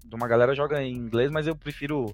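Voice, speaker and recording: A man with a Brazilian Portuguese accent talks in a relaxed, chatty way, restarting phrases and repeating words as he thinks. His pitch sits in the ordinary middle for a man, with faint music underneath. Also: fast, no echo. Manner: hesitant, repeats and restarts words, laughs often. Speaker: gaming podcast co-host. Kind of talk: casual chat.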